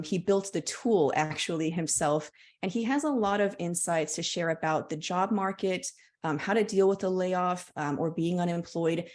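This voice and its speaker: A woman with a somewhat low voice opens an online event, explaining the schedule clearly and steadily. Her words are a little scripted, with a few "um"s along the way. She is heard through a video-call mic. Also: a little mellow. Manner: clear, promotional, occasional fillers. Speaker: event host. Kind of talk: scripted webinar introduction.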